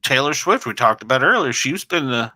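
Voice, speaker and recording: A man's voice: medium pitch, sing-song, fairly quick, pushed and bright, no echo. A man co-hosting a casual talk show, chatting in an easygoing, jokey way and laughing often. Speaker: co-host; photographer. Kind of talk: casual chat.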